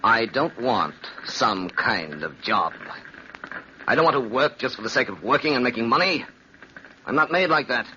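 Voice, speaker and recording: A man's voice: medium pitch, animated, normal speed, sharp tone. An actor playing a cynical, wicked man who relishes his evil, in a theatrical, grandiose, taunting manner in an old-time radio drama. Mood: brooding. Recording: dull, hissy old radio recording.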